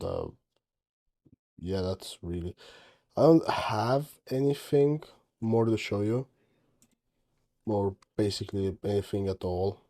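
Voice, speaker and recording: A teenage man from Estonia, speaking English as his second language, rambles offhandedly through commentary on his own game footage. His voice sits a little low and has a soft, mellow tone. He trails off, restarts, corrects himself, and sounds tired throughout. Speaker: game dev vlogger. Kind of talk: casual commentary over screen recording.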